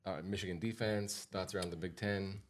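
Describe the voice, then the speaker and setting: A man's voice: low-pitched, steady tone, fairly quick. An American man hosting a podcast as a financial advisor, talking informally and repeating points for emphasis. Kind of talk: casual podcast talk.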